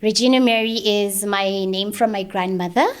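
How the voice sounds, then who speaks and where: A woman's voice: medium pitch, steady tone, normal speed. A woman from Zambia, telling her life story as an interview guest in an earnest, emphatic way. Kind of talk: personal testimony in conversation.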